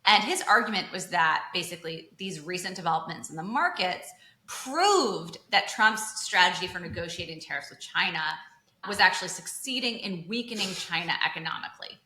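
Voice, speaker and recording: A woman's voice: fairly low pitch, expressive, fairly quick, pushed and bright, echoey room. A woman in her thirties, hosting a podcast and explaining finance in a chatty way, full of asides and "like". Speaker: podcast host. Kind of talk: casual explanatory chat.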